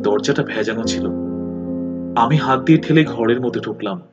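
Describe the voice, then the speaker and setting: A man's voice: medium pitch, normal speed, muffled recording. A man narrating a horror story in the first person, in a theatrical, suspenseful way. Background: music.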